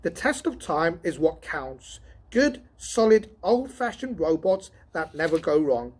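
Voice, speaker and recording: A man voicing an excitable, griping male robot tester in a storybook reading, loud and indignant. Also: a little high, a little slow, husky, pitched above the performer's own voice, full character voice. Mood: exasperated.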